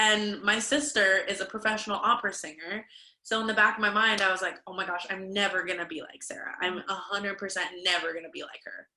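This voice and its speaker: A woman's voice: medium pitch, fairly even intonation, fast talker, sharp tone, echoey room, low-quality recording. A young woman, a dancer and fitness instructor, talking openly and reflectively as an interview guest, with lots of fillers. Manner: open, self-reflective, talks with many fillers. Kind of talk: candid conversational interview.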